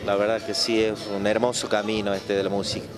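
A man's voice: lowish voice, flat delivery, fairly quick. A young Argentine man from Tucumán, interviewed as a folk singer into a handheld mic in a busy bar, talking modestly with some hesitation. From Tucumán province, Argentina. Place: busy bar with live music. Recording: loud live music behind.

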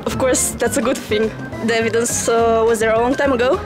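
Young woman in a street interview, highish voice, music behind. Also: steady tone, a little fast. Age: young adult. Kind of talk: casual street opinion. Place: city street, outdoors. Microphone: interviewer's handheld microphone.

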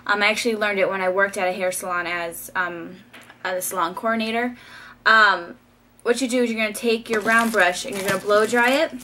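A woman's voice: mid-range voice, steady tone, a little fast, bright, forceful tone, slight background noise. A young woman, casually talking through a hair tutorial with frequent ums while styling her hair, recorded on a camera mic in a small bedroom. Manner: chatty, frequent ums, light laughs. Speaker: beauty vlogger.

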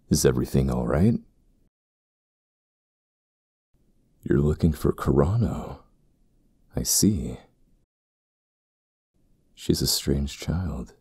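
An actor playing a stern, ambitious temple priest in a fantasy audio drama, speaking formally and gravely with a husky, gravelly voice. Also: male voice, a bass voice, a little mellow, no room sound, clean studio sound, heavily acted. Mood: solemn concern. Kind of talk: fantasy audio roleplay acting.

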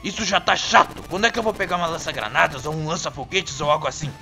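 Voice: medium pitch, animated, fast talker, gravelly, music in the background. An actor with a Brazilian accent playing a crude, irritable, bullying male cat-like creature in a cartoon fandub, brash and mocking. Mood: bored, grumpy. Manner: brash, foul-mouthed, mocking.

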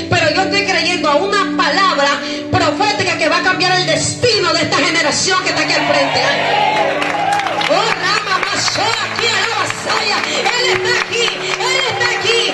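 High-pitched woman preaching fervently. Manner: shouting, sing-song preaching with bursts of tongues. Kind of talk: fiery Pentecostal sermon. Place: large bare concrete courtyard church, outdoors at night. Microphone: handheld microphone. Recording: echoey open space with loud music behind.

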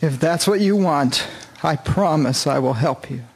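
A man plays a wartime army doctor in a radio drama. His voice is rather high for a man. He speaks in a calm, measured and kindly way, as an officer in command. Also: gravelly. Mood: earnest. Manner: measured, reassuring.

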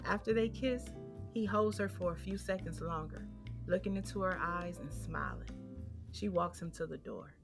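A woman's voice: on the low side, moderate pace, vocal fry. A woman reading scripted narration for an audio drama, describing the action. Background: music.

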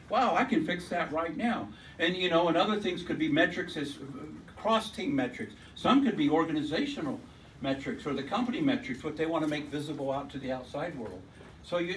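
A man with a loud voice giving an informal technical talk at a meetup, rambling and restarting his sentences. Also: a little high, far from the mic, slight background noise, poor audio. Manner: rambling, with many restarts and repeated words. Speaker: conference speaker. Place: lecture room at a tech meetup. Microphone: handheld mic, often held away from the mouth.

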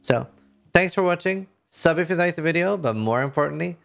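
A man's voice: on the high side, animated, a little fast, creaky, fairly bright tone. A man in his thirties with a Norwegian accent, a streamer chatting casually and enthusiastically to camera about a video game he loves. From Norway. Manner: enthusiastic, rambling, lots of fillers. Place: indoor streaming room.

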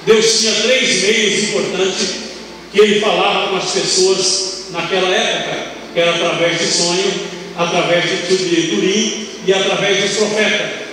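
High-voiced older pastor in an echoing hall. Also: male voice, sharp tone, distant mic, a little hiss or hum. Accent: Brazilian Portuguese. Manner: conversational preaching with tag questions and quick asides. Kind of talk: teaching sermon. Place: large bright church hall, indoors. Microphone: handheld mic, recorded from far camera.

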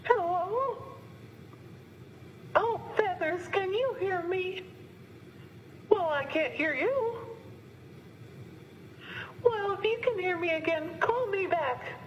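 A woman voicing a gentle, scatterbrained, motherly female puppet with a fluttery, caring manner. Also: extremely high pitch, animated, slow pace, long pauses, dull audio, slight background noise, exaggerated voice. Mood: flustered, caring.

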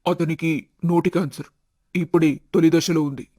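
A man voicing a composed, reassuring doctor in an animated family story, matter-of-fact in manner. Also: fairly high pitch, normal speed, lightly acted.

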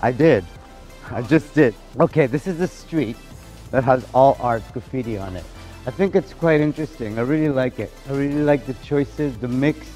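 A man's voice: medium pitch, normal speed, mellow, soft background music. A man, chatting playfully while walking through city streets for a casual interview, recorded outdoors on a camera mic. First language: Spanish. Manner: playful, chatty, laughs often.

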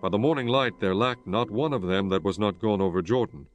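Low-voiced man narrating scripture. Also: fairly quick. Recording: faint music behind the voice.

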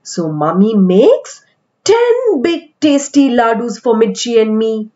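An Indian-accented woman reads a picture book for children. Her voice is fairly high and very lively in pitch, with very slow, deliberate phrasing. The recording is slightly dull. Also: faint music behind. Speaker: children's storytelling host. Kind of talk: animated picture-book read-aloud for kids.

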